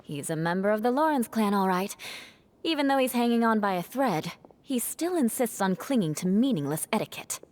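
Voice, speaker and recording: A woman's voice: medium pitch, lively intonation, brisk, a little mellow, lightly acted. A woman playing a proud, sardonic young noblewoman knight in a video game, haughty, sarcastic and clipped. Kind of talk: video game cutscene acting.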